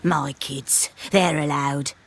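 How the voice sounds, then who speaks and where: An actress playing a stern, wary, addled old peasant granny in a video game, rambling and scolding in rustic speech. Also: female voice, sits low, fairly even intonation, slow, heavily acted. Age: older.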